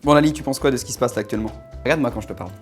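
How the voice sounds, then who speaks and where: A host running a timed video editing contest. The host gives playful, teasing commentary and counts down the minutes left. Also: medium pitch. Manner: teasing, quick, animated. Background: background music.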